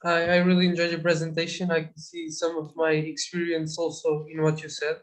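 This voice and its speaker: A man's voice: a little high, monotone, a little fast, rough recording. A man moderating an online event, polite and somewhat hesitant. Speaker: webinar moderator.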